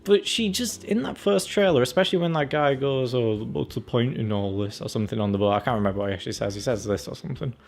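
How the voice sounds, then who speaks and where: A man's voice: mid-range voice, fast, vocal fry, hardly any pauses. A man giving a casual, chatty trailer review as a channel host, acting out his reactions as he goes. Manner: chatty, acts out reactions and quotes.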